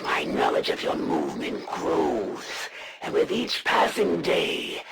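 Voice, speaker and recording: A woman voicing a proud, menacing alien assassin for a video game, speaking formally and scornfully with a gravelly, theatrical delivery. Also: on the low side, exaggerated voice. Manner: formal, haughty, measured and threatening.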